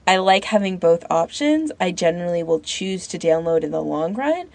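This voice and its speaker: A woman's voice: lowish voice, very animated, moderate pace, creaky, a little mellow, dry recording. A woman co-hosting a podcast, talking in a casual conversational discussion. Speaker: podcast host.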